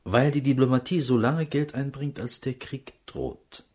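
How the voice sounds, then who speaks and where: An actor playing a sly, cynical statesman in a radio play, husky and insinuating, with deliberate pauses. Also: medium pitch, fairly even intonation, a little mellow. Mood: sly, confiding.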